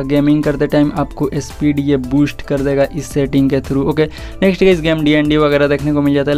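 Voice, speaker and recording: Higher-pitched Indian man, flat delivery. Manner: code-mixes English words, addresses viewers as guys. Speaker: tech YouTuber, host. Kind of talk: casual phone feature walkthrough voice-over.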